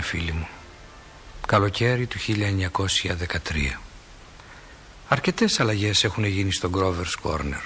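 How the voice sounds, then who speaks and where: A man's voice: lowish voice, sharp tone. A man playing a reflective, wry small-town narrator in a stage play, addressing the audience in a folksy, musing way. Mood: calm, descriptive.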